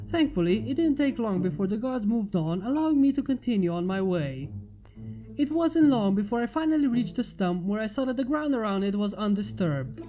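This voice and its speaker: A woman's voice: mid-range voice, warm tone, dull audio. A woman reading a scripted story aloud as its narrator. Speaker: fan fiction reader. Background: music.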